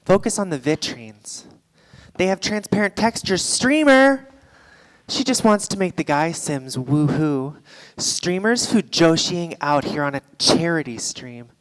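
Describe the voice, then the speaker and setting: An actress playing an irritable, foul-mouthed, sardonic game streamer in a live staged reading. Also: female voice, lowish voice, animated, a little slow. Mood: exasperated. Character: irritable, foul-mouthed woman video-game livestreamer addressing chat. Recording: echoey theatre hall, live stage.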